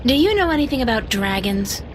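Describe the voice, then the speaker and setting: A woman's voice: on the high side, very animated, brisk, a little hiss or hum. An actress playing a polite, curious young woman adventurer asking questions.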